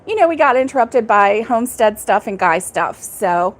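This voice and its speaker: A woman's voice: a little high, lively intonation, moderate pace. A woman hosting a casual crochet livestream from a back porch, chatting with a friend and greeting viewers by name, laughing often. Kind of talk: casual live chat with viewers. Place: back porch, indoors, air conditioned.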